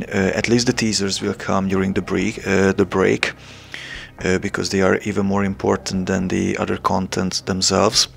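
Slow, gravelly Hungarian-accented young man. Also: sits low, fairly bright tone, a little hiss or hum. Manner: hesitant, many filler pauses. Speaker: channel creator. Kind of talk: casual channel announcement.

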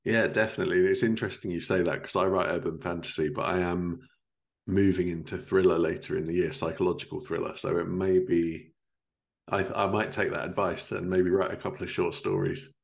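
A man's voice: low-pitched, fairly even intonation, fairly quick, creaky. A man in his thirties hosting a casual interview, with an informal, affirming way of talking. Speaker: podcast host. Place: living room at home, indoors, video call.

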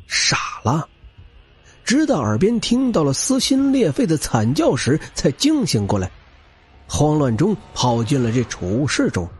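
A man's voice: fairly high pitch, a little mellow, slightly rough. A man narrating an audiobook in a dramatic, scripted style.

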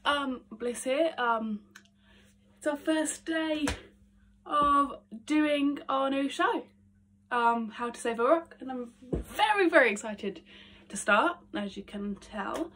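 Young woman, congested and nasal, chatty self-talk, a bit high, filmed from across a bedroom with faint noise. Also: takes it slowly, fairly bright tone. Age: young adult. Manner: chatty, self-talking, lots of ums. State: congested, nasal from hay fever. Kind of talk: casual vocal warm-up vlog. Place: small attic bedroom at home. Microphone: phone camera across the room. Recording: faint room noise, distant from phone.

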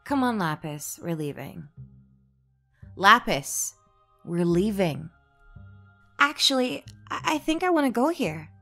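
A woman's voice: medium pitch, sing-song, slow, pauses often, sharp tone, faint music behind, full character voice. A woman voicing a grumpy, bossy female alien in a fan comic dub, talking in a curt, dismissive way. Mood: impatient.

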